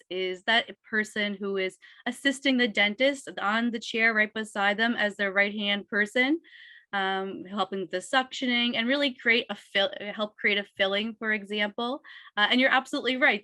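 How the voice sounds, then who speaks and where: Hoarse, bright-voiced woman instructor. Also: mid-range voice, no echo. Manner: upbeat, explaining step by step. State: hoarse from a cold, losing her voice. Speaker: dental assisting instructor. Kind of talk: webinar presentation.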